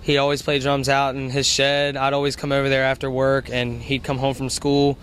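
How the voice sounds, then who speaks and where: A young male vocalist, about twenty-one, talks in a loose, rambling way and keeps adding "you know". His pitch stays level and flat. Faint ambient noise sits behind him. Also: normal speed. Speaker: band vocalist. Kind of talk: casual interview answers. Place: outdoors at a music venue.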